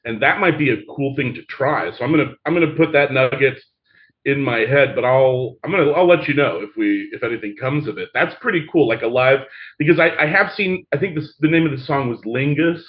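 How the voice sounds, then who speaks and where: A man's voice: medium pitch, expressive, fairly quick, muffled recording. A man talking as a theatre creator guest in a casual interview, long-winded and rambling with frequent restarts.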